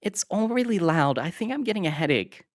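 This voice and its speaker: A man's voice: sits high, big pitch swings, a little fast, dry recording, clean studio sound, pitched above the performer's own voice. A man voicing a tired, tentative young woman of twenty-one who sounds dubious. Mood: weary.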